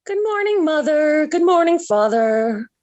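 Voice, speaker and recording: A melodramatic American teenage daughter in a vintage 1940s radio comedy. Her fairly high voice swoops up and down, gushing with dreamy, lovesick airs. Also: big pitch swings, unhurried, darkish tone, highs cut off. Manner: gushing, theatrical, airy. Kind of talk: 1940s radio sitcom acting.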